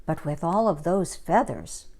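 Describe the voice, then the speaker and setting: A woman storyteller reading a children's story aloud in an expressive, dramatic and deliberate manner. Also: lowish voice.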